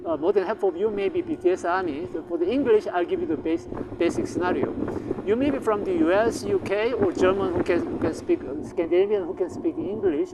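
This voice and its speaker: A man whose first language is Korean, talking off the cuff to his viewers, halting, with many fillers and repeated words, boastful. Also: high-pitched, very noisy. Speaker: vlogger promoting language courses. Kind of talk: unscripted talk to camera.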